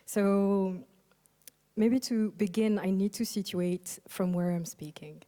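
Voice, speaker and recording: A young woman from Algeria giving a conference keynote on a stage microphone, thoughtful and soft-spoken, with frequent ums and uhs. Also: mid-range voice, slow. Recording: long reverb of a large hall.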